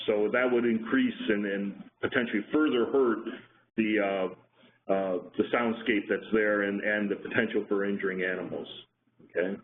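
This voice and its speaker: A man lecturing over a conference call, his voice sitting low. He talks with frequent restarts and fillers, working through ideas aloud. The phone line is thin and tinny with a faint hiss behind. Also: steady tone, normal speed. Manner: hesitant, many restarts, self-deprecating. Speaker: presenter on marine acoustics.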